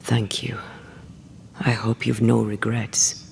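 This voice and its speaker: An actress playing a solemn ghost woman, a slain ghost-hunter, in a video game cutscene, speaking gravely and often dropping to a hush. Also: rumbling low, level tone, slow, a little hiss or hum, full character voice. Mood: sorrowful, resolved.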